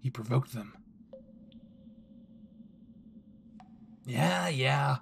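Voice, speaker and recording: A man's voice: mid-range voice, animated, a little slow, creaky, a little hiss or hum. A man playing a brash, possessive young hero, cocky, swearing and intense, in a dramatic audio roleplay spoken to the listener. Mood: dismissive, smug. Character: brash, possessive young male hero, gruff and foul-mouthed.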